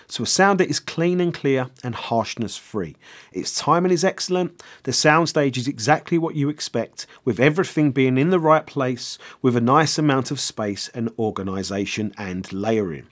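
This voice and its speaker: A man in his forties giving a product review in a measured, conversational, explanatory way. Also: medium pitch.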